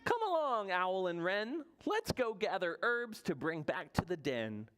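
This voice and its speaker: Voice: mid-range voice, sing-song, unhurried, fairly bright tone, pitched above the performer's own voice. A storybook reader voicing a helpful, bossy raven who calls out to urge friends along. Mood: urging. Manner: calling out, urging.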